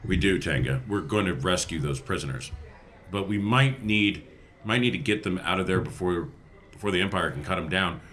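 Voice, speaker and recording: A man playing a steady, earnest rebel adventurer in an improvised tabletop game, speaking deliberately. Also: deep voice. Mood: earnest, resolute.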